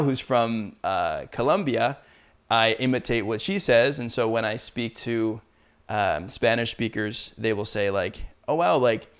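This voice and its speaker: A man from Canada hosting a casual interview, relaxed and chatty. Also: mid-range voice. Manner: relaxed, chatty, laughs often. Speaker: podcast host.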